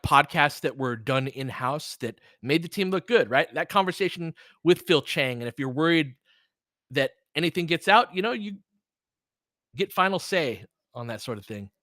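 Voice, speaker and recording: A man's voice: on the high side, bright, forceful tone, dry recording, studio quality. A man hosting casual game commentary, chatting candidly and rambling through stories and asides. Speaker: host doing audio play-by-play.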